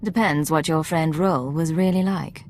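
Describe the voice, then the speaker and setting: An actress voicing a composed, authoritative woman intelligence agent in a video game, speaking in a measured, guarded, formal way. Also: low-pitched, steady tone, darkish tone, a little hiss or hum, natural voice. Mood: probing. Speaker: player character in a video game. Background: music.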